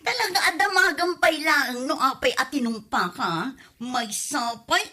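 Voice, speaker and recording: A woman's voice: high voice, expressive, unhurried, bright, forceful tone, full character voice. A woman acting a hot-tempered married woman in a comedy drama, scolding and exclamatory. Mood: furious. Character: furious scolding married woman confronting a gossip.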